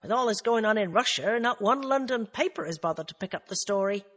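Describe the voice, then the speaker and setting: Woman doing a calm young male detective. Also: medium pitch, brisk, lightly acted. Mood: advising.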